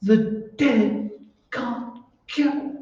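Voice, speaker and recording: Casting: actor as mild, browbeaten husband for radio ghost play, soft mellow voice, slow and halting. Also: male voice, extremely high pitch, heavily acted. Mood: weary, fearful. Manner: hesitant, stammering, meek. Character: timid, henpecked husband, meek and bewildered. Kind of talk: old-time radio horror acting. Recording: muffled, very echoey, distant stage recording.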